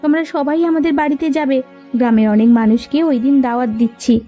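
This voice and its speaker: A woman plays a humble young village woman in a cartoon. Her voice is high yet mellow and she speaks politely over faint music. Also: steady tone, a little fast. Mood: deferential. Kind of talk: Bengali cartoon story dialogue.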